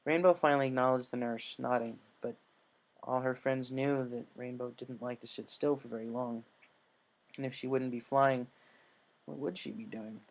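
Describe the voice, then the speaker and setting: A man's voice: mid-range voice, steady tone, normal speed, creaky, poor audio. A man narrating a scripted fan fiction story as its reader.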